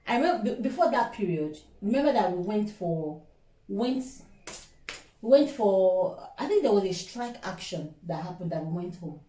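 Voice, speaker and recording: A woman's voice: medium pitch, normal speed. Nigerian woman chatting in an echoey room. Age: middle-aged. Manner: expressive, repeats words for emphasis. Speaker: co-host of a couple's relationship vlog. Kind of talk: casual storytelling chat. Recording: echoey room, distant microphone.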